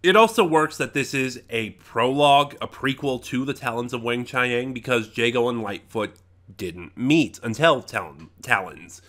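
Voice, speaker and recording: A man giving a casual, unscripted review, speaking emphatically in a halting way, often trailing off and regrouping mid-thought. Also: mid-range voice, expressive, a bit bright. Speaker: online reviewer.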